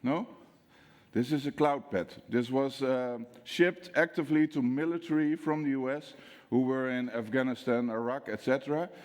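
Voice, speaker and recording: Lively Dutch man talking in an echoing hall. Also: mid-range voice, normal speed. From Netherlands. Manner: lively storyteller, acts out dialogue, asks audience questions. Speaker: security speaker. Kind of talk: conference talk to an audience. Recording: long hall reverb.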